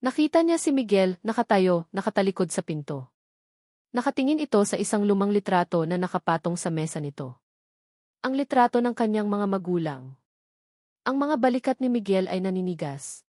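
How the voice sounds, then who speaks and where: A woman narrating a dramatic story script in an even, measured reading with short, punchy sentences. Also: mid-range voice, dry recording, studio quality.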